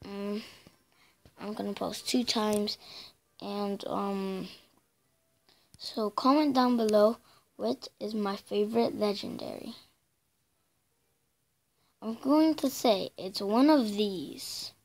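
A woman with a raspy voice giving halting, filler-heavy casual commentary over a game screen recording. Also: medium pitch. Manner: halting and filler-heavy, drifting between loud bursts and mumbles. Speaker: channel host.

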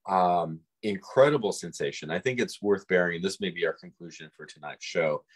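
A middle-aged man with a husky voice, chatting casually as co-host on a video call. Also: low-pitched, moderate pace. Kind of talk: casual conversation. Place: room at home, on a video call. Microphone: device mic on a video call.

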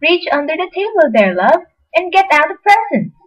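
A woman's voice: very high voice, sing-song, moderate pace, heavy background noise. A woman playing a doting, fussy motherly figure in an amateur radio play, effusive with homely exclamations. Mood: eager, fond. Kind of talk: old-time radio play acting by an amateur.

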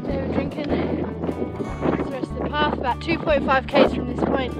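A woman vlogging outdoors in the snow, chatting upbeat to a handheld camera, with wind rushing over the mic. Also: high voice, little pitch movement, over loud music. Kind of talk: casual travel vlog chat. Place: outdoors on a windy snowy mountain. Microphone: handheld action camera.

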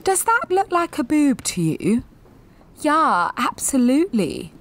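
An actress voicing a frank, chatty yummy mummy with a breezy, confiding manner in an audio drama. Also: female voice, high voice, very animated, takes it slowly, pitched above the performer's own voice, full character voice.

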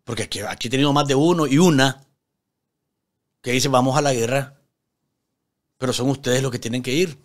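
A middle-pitched man in his forties speaks with a Nicaraguan accent as the host of a live political commentary show. He is emphatic, repeating phrases for weight and posing rhetorical questions. He talks into a microphone at a studio desk. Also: a little fast, pauses often. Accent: Nicaraguan Spanish. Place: small indoor studio at a desk.

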